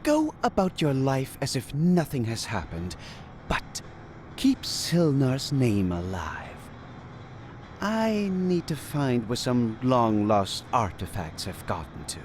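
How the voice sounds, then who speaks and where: An actor playing an enigmatic, knowing god of deception in a fantasy audio drama, speaking in a measured, formal, cryptic way. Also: male voice, medium pitch, very animated, a little mellow, slight background noise. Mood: reassuring. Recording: music bed under the voice.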